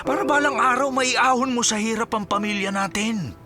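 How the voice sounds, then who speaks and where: A man acts out a middle-aged Filipino farmer father for radio drama, his voice pitched high over background music. He is stern and resentful, scolding with heavy emphasis. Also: little pitch movement, moderate pace. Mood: angry scolding.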